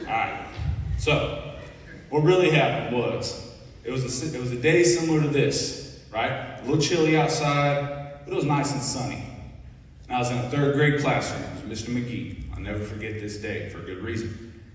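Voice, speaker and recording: A young man in his twenties with an Ohio accent gives an easygoing, conversational talk to a crowd of students. His voice sits at an ordinary middle pitch. It echoes around a big hall and sounds as if it was picked up from well back in the room. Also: normal speed, far from the mic. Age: 20s. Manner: folksy and conversational, keeps checking in with the audience. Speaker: Navy petty officer, guest speaker. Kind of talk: informal speech at a school assembly. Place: large echoing school hall. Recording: echoey hall recorded from afar.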